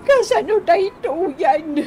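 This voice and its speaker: An actress playing an apologetic, panicky wife in debt trouble, whining and pleading in a radio comedy drama. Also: female voice, extremely high pitch, lively intonation, slow, warm tone, slight background noise, full character voice. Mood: pleading, remorseful.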